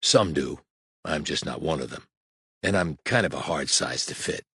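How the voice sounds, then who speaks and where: Man playing a big blunt federal agent, deep husky voice, terse, quiet, matter-of-fact. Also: moderate pace, no room sound, studio quality, heavily acted. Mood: low-key. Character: huge, blunt male federal agent, low-key.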